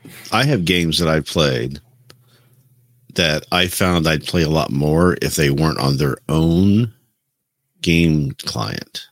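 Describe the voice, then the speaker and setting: A man's voice: very deep voice, slow pace, long pauses, no room sound. A man chatting casually as a podcast co-host, sharing his own gaming habits and opinions.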